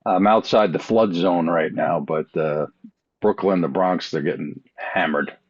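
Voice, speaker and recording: Older man, lowish, dull lo-fi sound. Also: measured pace, slightly rough. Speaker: podcast guest. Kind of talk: casual chat. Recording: dull lo-fi call audio.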